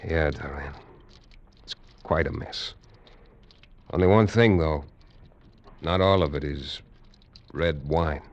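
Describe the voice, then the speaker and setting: A man's voice: rumbling low, slow, long pauses, highs cut off, noisy background. An actor playing a shrewd, sardonic lawman in 1940s radio drama, with a controlled and knowing manner. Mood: somber.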